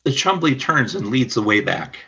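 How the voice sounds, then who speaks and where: A man's voice: medium pitch, very animated, normal speed, vocal fry, sharp tone, highs cut off. A man reading scripted narration for a radio play.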